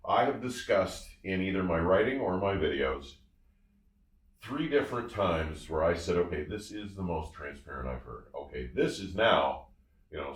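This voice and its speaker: A man reviewing audio gear in casual, enthusiastic talk, emphatic and effusive, often repeating his phrases. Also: sits low. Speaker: audio reviewer and show host. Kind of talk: casual enthusiastic product discussion. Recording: roomy, somewhat distant from the mic.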